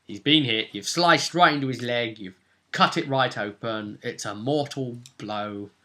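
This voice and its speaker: A man's voice: medium pitch, a little slow, pushed and bright. A man chatting casually as a game master, retelling a role-playing session like a story.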